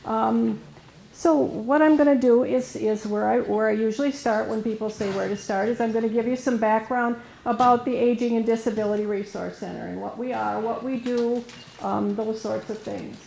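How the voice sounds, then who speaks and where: A woman with a soft voice giving an informal presentation as a resource specialist, hesitant, with many fillers and restarts. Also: fairly high pitch, fairly even intonation, normal speed, creaky, slight background noise. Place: meeting room with an audience. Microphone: room microphone recorded for camera. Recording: roomy echo.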